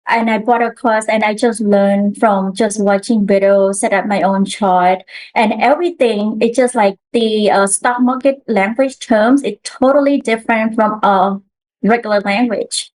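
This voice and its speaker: A woman's voice: mid-range voice, steady tone, a little slow, a little mellow, poor audio. A woman, a guest telling her story earnestly in a casual interview, with restarts. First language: Khmer.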